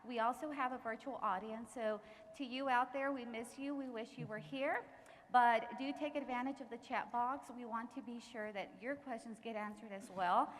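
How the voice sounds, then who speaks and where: A woman's voice: fairly high pitch, fairly even intonation, normal speed. A woman moderating a live panel, upbeat and encouraging, with a few restarts. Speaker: event moderator, recruiter. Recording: reverberant hall with faint room noise.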